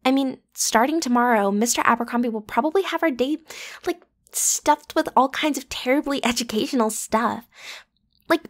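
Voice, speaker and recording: A woman's voice: high voice, exaggerated voice. A woman playing a bratty, playful popular student girl in an ASMR roleplay, with casual, slangy, teasing delivery. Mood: coaxing. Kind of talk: ASMR roleplay acting, one side of a conversation.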